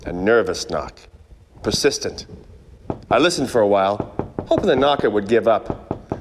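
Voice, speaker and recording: A man's voice: on the low side, normal speed, close to an everyday voice. Man playing world-weary private eye, echoey hall. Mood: weary, wry. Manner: wry, world-weary, deadpan. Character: weary, cynical male hard-boiled 1940s private eye. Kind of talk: noir radio play narration. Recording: echoey hall, background noise.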